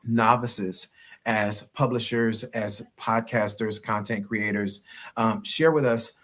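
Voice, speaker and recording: A man's voice: on the low side, steady tone, slow pace, fairly bright tone. A man hosting a podcast interview, asking questions and responding enthusiastically. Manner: enthusiastic, affirming. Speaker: podcast host.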